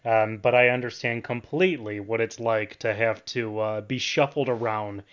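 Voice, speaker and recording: A man's voice: lowish voice, fairly even intonation, normal speed, clear, resonant tone, muffled recording. A young adult man speaking as the host of a student radio interview show. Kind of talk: scripted radio introduction and conversational interviewing.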